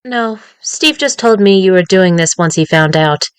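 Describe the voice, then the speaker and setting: Female actor as a guarded, grieving young woman; quiet, dry recording. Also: on the low side, lively intonation, unhurried, smooth, no echo. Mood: wary.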